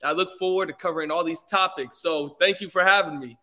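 A man's voice: on the high side, a little fast, sharp tone. A young man from England, a guest on a podcast, talking politely and easygoingly with many fillers. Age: young adult. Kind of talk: casual interview.

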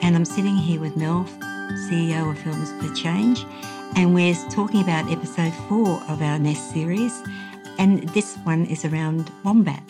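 A woman hosting a podcast in a measured, conversational way. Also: fairly low pitch, over music. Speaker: podcast host. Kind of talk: measured podcast presenting and chat.

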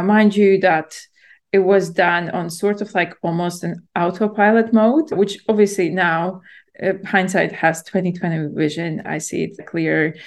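Gravelly-voiced woman. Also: fairly low pitch. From Latvia. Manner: reflective storytelling, full of "like" and "you know". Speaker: podcast guest. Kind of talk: casual podcast conversation.

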